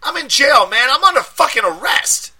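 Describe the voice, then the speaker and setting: A man voicing a scared, frantic young man who has just been jailed, cursing and shouting. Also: very high voice, big pitch swings, moderate pace, husky, voice pushed up, heavily acted. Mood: panicked. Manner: loud, profane, panicky shouting.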